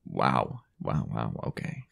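Man acting deep, gravelly possessive boyfriend. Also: lively intonation, fairly bright tone, full character voice. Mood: teasing, dominant. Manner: halting, teasing, breathy half-whispered asides. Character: possessive, jealous, dominant young boyfriend. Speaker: audio roleplay voice actor. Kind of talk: improvised intimate boyfriend audio roleplay.

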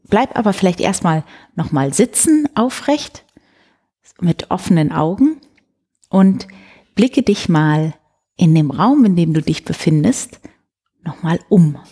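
A woman in her forties hosting a bedtime show, guiding the listener gently and soothingly with a soft, breathy voice. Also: on the low side, expressive, slow. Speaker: host of a bedtime story show. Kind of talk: guided sleep meditation, soft bedtime storytelling. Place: indoor room beside a wood stove. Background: soft music.

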